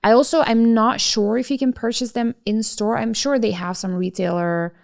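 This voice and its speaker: A woman's voice: fairly high pitch, steady tone, normal speed, vocal fry. A woman co-hosting a business podcast, reading scripted narration and then chatting casually with plenty of fillers. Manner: chatty, many fillers in conversation. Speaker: podcast co-host.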